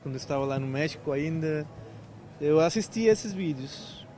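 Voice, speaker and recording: A man's voice: a little high, expressive, moderate pace, a little mellow, faint music behind. A man of about thirty from Mexico, a native Spanish speaker with a Brazilian-style Portuguese accent, chatting as a volunteer in an interview with many fillers and restarts. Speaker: event volunteer. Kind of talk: casual interview answers.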